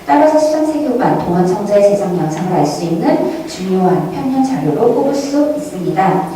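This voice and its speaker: A woman's voice: medium pitch, very animated, brisk, dark tone. A woman giving a formal prepared academic presentation, recorded from a distance in a very echoey hall with faint noise. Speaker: academic presenter at a museum conference. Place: large echoing indoor hall at a conference.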